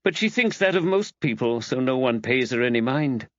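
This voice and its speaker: A man's voice: mid-range voice, sing-song, moderate pace, fairly bright tone, no room sound, lo-fi sound. A man voicing a knowing, gossipy village woman in audiobook dialogue, wry and matter-of-fact.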